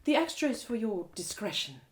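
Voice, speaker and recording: A woman's voice: on the high side, big pitch swings, fairly quick, voice pushed up. Woman voicing a guarded, weary elf warrior woman. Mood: guarded, suspicious. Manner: terse, aloof.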